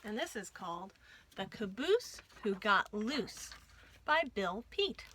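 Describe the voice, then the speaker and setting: A woman hosting a children's storytime, reading aloud with an expressive, deliberate delivery for young listeners. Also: mid-range voice, a little hiss or hum. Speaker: children's librarian and storytime host.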